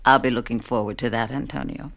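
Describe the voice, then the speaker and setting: A woman's voice: a bass voice, fairly even intonation, fast talker, creaky, a bit bright, heavily acted. An actress playing a tired, long-suffering wife and mother in a radio play, earnest and subdued. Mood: tired patience.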